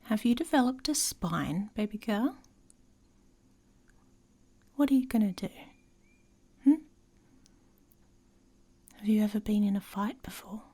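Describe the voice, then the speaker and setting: Woman playing a husky, whispery, taunting she-wolf. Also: mid-range voice, lively intonation, unhurried, pauses often, warm tone. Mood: teasing, seductive. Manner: teasing, sardonic, often dropping into whispers. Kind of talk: intimate ASMR roleplay.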